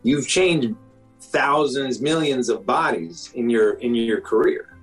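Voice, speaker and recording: A man in his forties, a doctor, talking casually in an interview, often checking in with "okay?" and "you know?" and clearing his throat now and then. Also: medium pitch, slow pace, faint music behind, poor audio. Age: 40s. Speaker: doctor, guest.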